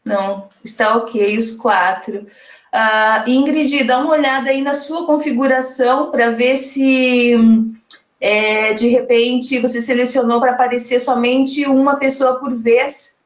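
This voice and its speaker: A woman from Brazil hosting a live web panel, chatting easily and reading audience comments aloud. Her voice sits a bit high. The call audio is roomy and echoey. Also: steady tone, measured pace, highs cut off. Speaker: panel moderator. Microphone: video call.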